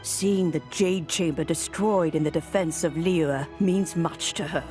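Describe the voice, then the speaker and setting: Woman voicing calm low-pitched peacemaker lady in a fantasy game, gentle n formal, soft music behind. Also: a little slow, natural voice. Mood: calm, gracious. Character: calm, gracious, conciliatory fantasy game character. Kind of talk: scripted fantasy game dialogue. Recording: music under the voice.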